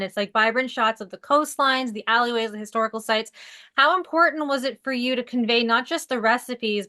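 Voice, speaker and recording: Eager woman interviewer, slightly high voice. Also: pushed and bright. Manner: enthusiastic, gushing questions.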